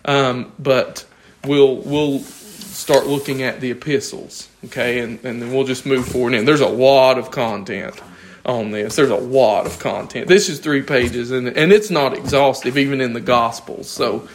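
Hoarse man teaching a church class. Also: mid-range voice, measured pace, hardly any pauses, a little hiss or hum. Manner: conversational, thinking aloud, asks the class questions. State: losing his voice. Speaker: Bible study teacher.

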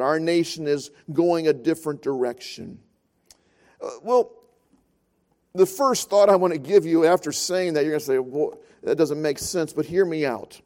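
A man's voice: fairly high pitch, darkish tone. A man preaching a sermon as pastor, telling a story and then building earnest, repeated points.

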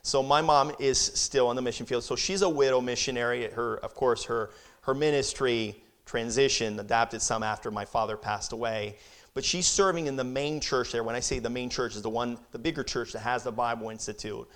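A man in his thirties who grew up in Brazil speaks at a mid pitch to a church audience. He is earnest and conversational, and he often doubles back on his words as he explains. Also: fairly even intonation, fairly quick, fairly bright tone. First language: Portuguese. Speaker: missionary. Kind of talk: church presentation, Q&A.

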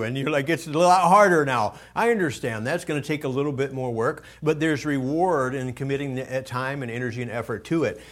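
A man preaching a sermon to his church as pastor, folksy and storytelling, his voice a little gravelly. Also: mid-range voice. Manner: folksy storytelling, loud bursts when quoting his own preaching. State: slightly gravelly voice.

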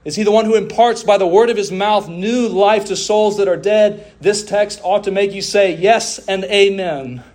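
A man delivering a sermon as a preacher, with emphatic oratory, deliberate pauses, drawn-out phrases and rhetorical refrains. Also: high-pitched, darkish tone. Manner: rhetorical questions and repeated refrains.

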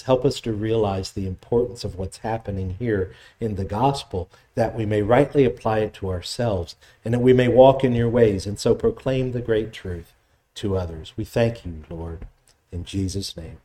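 A man preaching, reading scripture aloud, praying, then explaining the passage in a measured, teaching way. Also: warm tone. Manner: measured expository preaching, voice drops low in prayer. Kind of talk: sermon with scripture reading and prayer.

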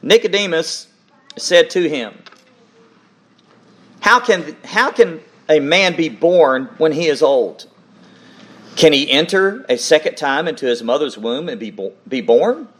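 A man's voice: a little high, lively intonation, a little slow, fairly bright tone. A man preaching a sermon and reading scripture in an earnest, emphatic manner, repeating words for weight and checking in with his listeners.

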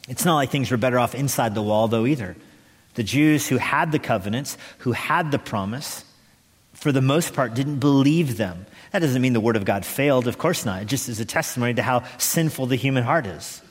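A man preaching an expository sermon as a pastor, emphatic and deliberate, repeating key phrases with pauses. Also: mid-range voice. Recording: echoey hall with long reverb.

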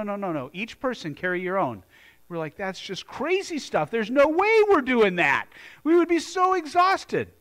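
A man's voice: high-pitched, a bit bright. A man from the United States preaching a sermon in a church, earnest and conversational, often restarting his sentences. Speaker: pastor.

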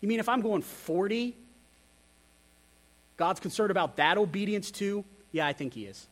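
A man's voice: high voice, animated, normal speed. A man preaching a sermon, candid and self-deprecating, who asks his listeners rhetorical questions. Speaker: pastor.

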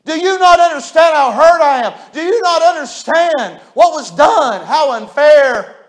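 A man's voice: very high-pitched, expressive, unhurried, pitched above the performer's own voice. A man, a preacher, voicing the biblical Joseph as an aggrieved young man who pleads that no one understands what he has gone through. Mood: indignant. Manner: pleading, indignant complaining. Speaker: pastor.

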